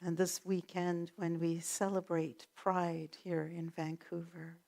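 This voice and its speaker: A woman leads a prayer at a church service with calm, unhurried affirmations. Her voice is lowish and she speaks slowly. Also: gravelly. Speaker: prayer leader. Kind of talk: spoken prayer.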